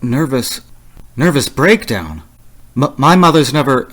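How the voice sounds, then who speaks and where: An actor playing a frightened, haunted man traveling alone in a suspense radio play, speaking intensely and haltingly. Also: medium pitch, sing-song, a bit bright. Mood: panicked disbelief. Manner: intense, halting, repeating words. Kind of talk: suspense radio play acting, dramatic narration.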